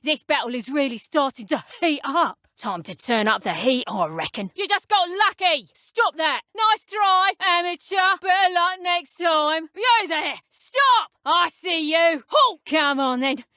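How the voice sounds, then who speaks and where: A haughty, menacing witch villain for a video game. Her voice sits high and swings widely in pitch, sneering and taunting with open contempt. The recording is close and dry. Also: animated, measured pace, few pauses, pushed and bright, no echo, heavily acted. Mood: taunting, gloating, then alarmed, shouting. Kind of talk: video game combat barks and villain lines.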